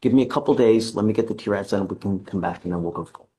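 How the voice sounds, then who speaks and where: A man speaking as a judge at a courtroom hearing, measured and deliberate, stern but patient. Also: fairly low pitch, dark tone.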